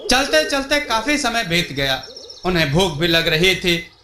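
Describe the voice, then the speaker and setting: High, very bright echoey male storyteller. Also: very animated, measured pace, slight background noise. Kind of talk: scripted folk tale narration in Hindi.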